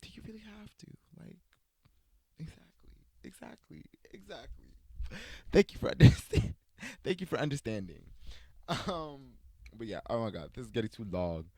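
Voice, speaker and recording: A young man from the States telling personal stories in a casual sit-down chat, animated and playful, quoting himself in a higher voice. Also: normal speed, breathy edge, dry recording, studio quality. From United States. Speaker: vlogger.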